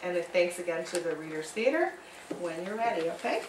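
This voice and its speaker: A woman's voice: on the low side, very animated, fairly quick. Far-off American woman hosting in an echoey room. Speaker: library program host. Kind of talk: informal live announcement to an audience. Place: indoor library event room with live audience. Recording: echoey room from far off, clear background noise.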